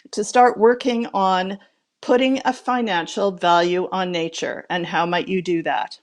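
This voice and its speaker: A middle-aged woman on a video call with earphones, giving measured, articulate opening remarks as a panelist from a room at home. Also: medium pitch. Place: home room with shelves, indoors.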